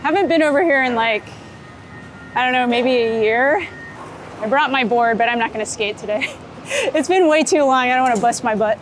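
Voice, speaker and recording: A woman from Florida with an American accent, chatting casually as an interviewed photographer, talkative and quick to laugh. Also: sits high, animated, soft background music. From United States, Florida. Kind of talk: casual chat while out and about. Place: on location in a city, rooftop, car and street. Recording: outdoor city and vehicle noise.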